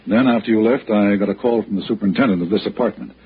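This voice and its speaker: A man's voice: fairly low pitch, fast, lightly acted. A man acting as an evasive crook posing as a respectable businessman in a 1960s radio noir, hesitant and defensive. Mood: nervous, defensive. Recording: dull, noisy 1960s radio recording.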